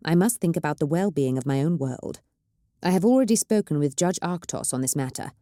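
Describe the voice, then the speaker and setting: A woman's voice: on the low side, mellow, no room sound, clean studio sound, natural voice. A woman voicing a stately planetary governor who speaks with courtesy and politely veiled threats.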